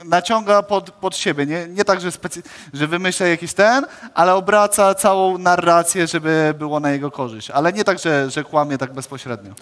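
Polish guy explaining himself calmly, slightly high voice, long reverb off the stage. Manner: measured, explains at length. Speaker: fighter answering questions. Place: indoor studio stage. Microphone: headset mic.

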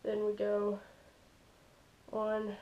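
Teen boy with a drawl, slow, flat. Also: high voice. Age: teens. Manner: loose, filler-heavy, "like" and "stuff like that". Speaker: fishing channel host. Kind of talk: casual how-to demo. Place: small bedroom indoors. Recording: dull lo-fi camera audio.

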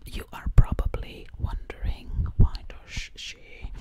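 A young woman in a breathy whisper, doing an ASMR roleplay ramble as a quirky zombie princess, close to the mic and repeating words over and over. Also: slow. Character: quirky zombie princess time-traveler from the 1920s. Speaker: ASMR performer. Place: indoors, living room. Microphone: close microphone, ear to ear.

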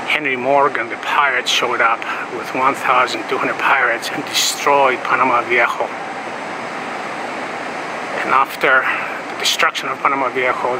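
A man speaks from inside a large church, talking viewers through its history with unhurried, explanatory phrasing and frequent pauses. His voice sits at an ordinary middle pitch. A heavy, steady hum of air conditioning and outside traffic runs under everything he says. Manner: relaxed, explanatory, pausing often. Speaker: travel vlogger. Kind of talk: walking tour narration.

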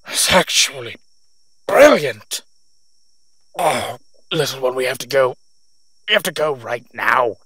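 Casting: male actor as age-old vampire father, very raspy and breathy, slow, halting, protective, for audio drama. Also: medium pitch, sing-song, a bit bright, no echo, exaggerated voice. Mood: wry, urgent. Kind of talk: audio roleplay acting.

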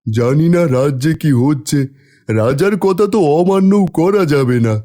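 A man voicing a cautious, deferential royal minister in a cartoon fairy tale, measured and respectful. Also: fairly high pitch, lively intonation, background noise, lightly acted. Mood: troubled.

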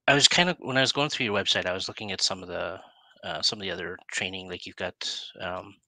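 A man's voice: low-pitched, bright, forceful tone, no room sound, poor audio. A middle-aged man hosting a casual interview over a headset, asking questions in a rambling, informal way. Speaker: interview show host. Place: plain indoor room, video call.

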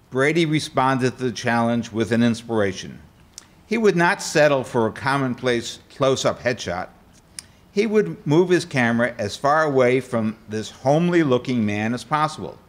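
An older man playing a formal nineteenth-century political delegate, reading a first-person account on stage with measured delivery and occasional stumbles. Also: medium pitch, close to an everyday voice. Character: formal 19th-century Republican delegate recounting events. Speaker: amateur actor reading a historical part. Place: auditorium stage before an audience. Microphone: microphone on a stand.

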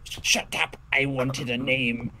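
Clinical, irritable male analyst played by a man, formal, mid pitch, chatter behind, game roleplay. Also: steady tone, slow pace. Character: odd, clinical, irritable male questioner studying people. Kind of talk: improvised Minecraft roleplay.

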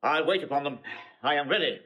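An actor playing a dignified, impatient older nobleman with a brisk, lordly manner in classical Shakespearean style. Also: on the high side, expressive, sharp tone, low-quality recording. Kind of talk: classical Shakespeare stage acting.

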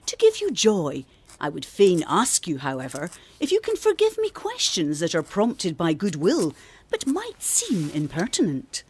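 Refined older lady in a radio play, preachy formal letter, bit husky, really lively pitch. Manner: formal, solicitous, gently preaching. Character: earnest, refined older gentlewoman writing to a poet. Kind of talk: radio drama letter reading.

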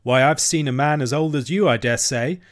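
A man's voice: mid-range voice, normal speed, natural voice. A man doing a swaggering simple-minded cabin boy. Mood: boastful. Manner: swaggering, sly, bragging. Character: boastful half-witted young cabin boy.

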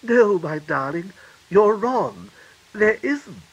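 A man voicing a gentle mother who soothes and corrects a child in a story. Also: sits high, very animated, takes it slowly, slightly rough, highs cut off, voice pushed up, full character voice. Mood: soothing.

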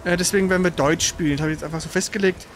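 A man's voice: high-pitched, steady tone, very fast, over music. A man hosting a gaming livestream with casual live commentary, rambling and often stumbling into self-corrections.

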